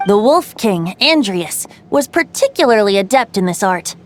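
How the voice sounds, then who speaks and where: A woman's voice: mid-range voice, sing-song, moderate pace, slight background noise, lightly acted. A woman playing a playful, youthful bard in a video game, telling tales in a lilting, theatrical, poetic way. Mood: knowing. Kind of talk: video game voice acting, storytelling.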